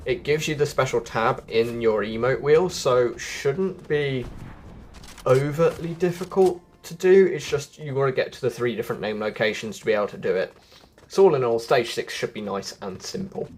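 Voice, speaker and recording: A man's voice: mid-range voice, moderate pace, a little mellow, slight background noise. A man giving casual, chatty game walkthrough commentary, addressing his viewers directly as he goes. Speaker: gaming video host.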